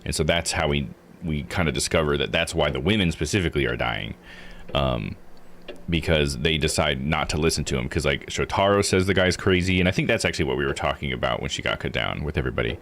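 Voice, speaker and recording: Low-voiced man rambling through game commentary. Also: expressive, fast talker, creaky, a bit bright, a little hiss or hum. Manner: rambling, explanatory, thinking aloud. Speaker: streamer playing and recapping a visual novel. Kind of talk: casual live let's-play commentary.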